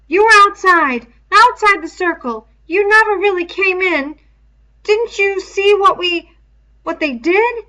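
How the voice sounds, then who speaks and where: A woman's voice: very high-pitched, lively intonation, measured pace, sharp tone, pitched above the performer's own voice, exaggerated voice, poor audio. A woman voicing a frightened, guilt-ridden schoolboy leader in a storybook, speaking in a troubled, emphatic way. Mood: feverish, accusing. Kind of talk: storybook read-aloud character voice.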